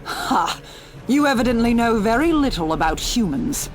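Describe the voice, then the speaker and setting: A woman's voice: fairly high pitch, very animated, normal speed, faint music behind. A woman voicing a cold, threatening human inquisitor in a video game, formal and contemptuous.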